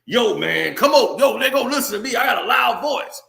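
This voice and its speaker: A man's voice: very high voice, animated, fairly quick, vocal fry, roomy sound, pitched above the performer's own voice, heavily acted. A man voicing a cocky young man from the street who brashly begs for a coaching job. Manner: brash, loud, boastful.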